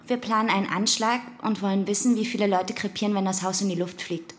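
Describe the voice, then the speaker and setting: An actress plays a sardonic teenage girl in a radio drama. Her voice sits at a medium pitch, and she speaks in a dry, blunt, challenging way with natural delivery. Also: fairly even intonation, fast, lightly acted.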